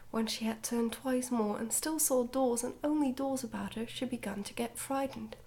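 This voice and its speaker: A woman reads a story aloud for ASMR. Her voice is whispered and breathy, sitting slightly high. She tells the tale gently and carefully, keeping everything soft and hushed. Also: steady tone, normal speed, a little hiss or hum. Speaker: ASMR book reader.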